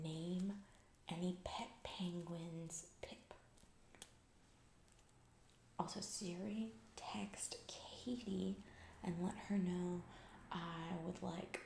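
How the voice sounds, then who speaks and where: A woman's voice: mid-range voice, gravelly, a little mellow. A woman plays a callous, scatterbrained corporate boss lady in a comedy ASMR roleplay. Her voice is a crackly whisper in a noticeably roomy, echoing space. She rambles breezily in office jargon and trails off, absorbed in herself.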